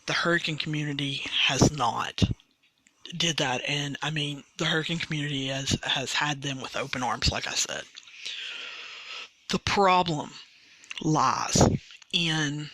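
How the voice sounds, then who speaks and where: A woman's voice: sits low, unhurried, long pauses, sharp tone, no echo, low-quality recording. A woman hosting a sports podcast on her own, explaining a rule in an earnest way and often restarting her sentences. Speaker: podcast host. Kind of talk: solo sports podcast commentary.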